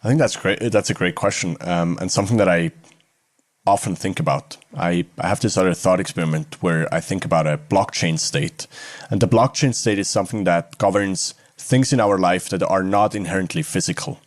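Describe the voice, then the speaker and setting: Man, very low pitch, dry sound, reflective interview talk. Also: a little fast, no room sound. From Switzerland. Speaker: interview guest, entrepreneur.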